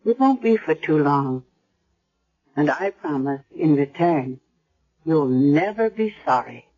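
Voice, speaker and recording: An actress playing a blind, dying elderly grandmother in a hospital bed, speaking softly and haltingly in a 1970s radio drama. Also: sits low, expressive, husky, heavily acted. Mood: tender. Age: older. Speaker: hospital patient. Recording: dull lo-fi vintage radio recording.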